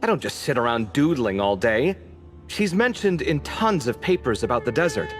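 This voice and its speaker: A man plays a well-read young scholar whose sarcastic, expressive voice swings up and down as he talks. Game music sits clearly under his lines. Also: mid-range voice, normal speed, close to an everyday voice. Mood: thoughtful.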